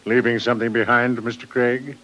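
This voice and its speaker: An actor playing a cold, menacing government interrogator, formal and measured, in a 1950s radio drama. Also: male voice, medium pitch, flat delivery, a bit bright, lightly acted. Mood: silky, probing. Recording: dull, band-limited old radio recording.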